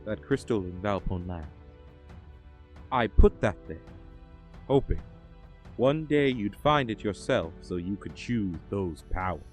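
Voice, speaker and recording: A man acts out an assured official who runs a superhero agency. The voice sits low and moves freely in pitch, with formal, measured phrasing. It is recorded dry, with music underneath. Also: animated. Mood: solemn, assured. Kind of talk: scripted audio drama acting.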